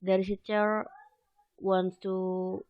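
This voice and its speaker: Slow, halting young woman presenting. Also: medium pitch, little pitch movement, no echo, phone-quality sound. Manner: hesitant, halting non-native English with frequent "okay" fillers. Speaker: student presenter. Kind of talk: academic presentation.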